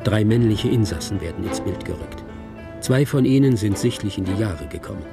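A man's voice: lowish voice, animated, brisk, gravelly. A man playing a detached narrator who reads out a film script in short, observational present-tense sentences for a radio drama. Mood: detached, suspenseful. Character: detached male narrator reading out a film screenplay. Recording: music under the voice.

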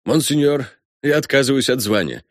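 A man's voice: fairly high pitch, sing-song, moderate pace, no room sound, studio quality, voice pushed up. A man voicing a proud, devoted old colonel and father, speaking with anguished, formal fervour in an audiobook. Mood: proud, resolute. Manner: fervent, formal, pleading.

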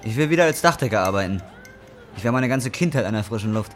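A man's voice: on the low side, lively intonation, fast talker, soft background music, close to an everyday voice. An actor playing a bitter, despairing injured roofer in his twenties in a radio drama, talking in crude slang. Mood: wry, weary. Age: 20s. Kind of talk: radio drama acting and first-person narration.

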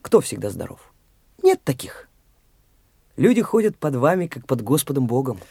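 Cocky, pushy young man for a radio drama; animated, close dry mic. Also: medium pitch, normal speed, creaky, breathy edge, no echo, exaggerated voice. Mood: blunt. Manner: cocky, taunting, blunt. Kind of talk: studio radio play acting.

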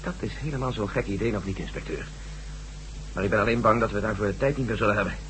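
A man acting a brisk, sceptical general in a radio play. He talks quickly and bluntly, in a familiar way. The old recording carries a faint hum. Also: lowish voice, close to an everyday voice, low-quality recording. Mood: wary, probing. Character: blunt, wary male American army general. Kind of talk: Dutch science-fiction radio drama acting.

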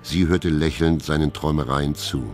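Narrator: extremely deep voice, calm and detached, literary radio drama, faint music underneath. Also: male voice, moderate pace.

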